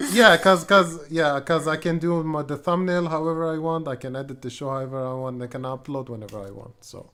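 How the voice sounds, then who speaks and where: A man's voice: a little high, lively intonation, moderate pace, a bit bright. A man co-hosting a gaming podcast, speaking hesitantly with many fillers and restarts. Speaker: podcast co-host and editor. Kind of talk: casual chat.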